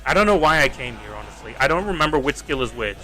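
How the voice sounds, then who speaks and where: A man's voice: medium pitch, lively intonation, quick pace, pushed and bright, faint music behind, rough recording. A young Australian man livestreaming a mobile game, chatting casually to viewers in a rambling, exasperated way, swearing and repeating himself. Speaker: gaming streamer. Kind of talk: casual livestream chat while playing.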